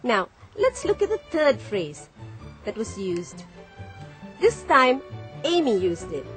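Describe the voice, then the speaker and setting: Animated high-voiced woman presenting a lesson. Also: takes it slowly, faint music behind. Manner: instructive, emphatic teacher-like questioning. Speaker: educational TV presenter. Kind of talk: scripted lesson narration.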